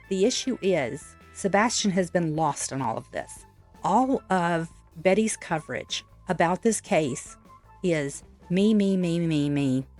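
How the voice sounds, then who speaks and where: A woman giving opinion commentary in a measured, matter-of-fact way, correcting point by point. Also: lowish voice. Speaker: reaction commentator. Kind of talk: opinion commentary over played clips.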